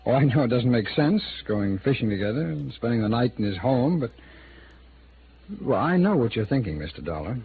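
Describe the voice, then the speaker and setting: A man acting a smooth-talking but defensive American city attorney in his thirties, in an old-time radio drama. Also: mid-range voice, big pitch swings, a little fast, full character voice. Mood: candid, defensive. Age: 30s. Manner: hesitant, defensive, conversational. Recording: phone-like, noisy old radio recording.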